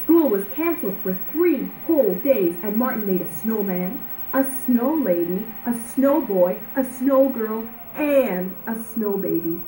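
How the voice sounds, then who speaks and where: A woman reads a children's storybook aloud with expressive, dramatic emphasis. Her voice sits at an average pitch, in a roomy, echoing space with faint noise behind it. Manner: animated, dramatic, drawn-out emphasis. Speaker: storybook reader. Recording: roomy echo, background noise.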